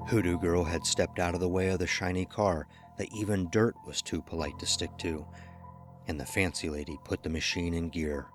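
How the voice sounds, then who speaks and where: A man narrating a scripted horror story in a measured, ominous, dramatic storytelling manner. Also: deep voice. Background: music under the voice.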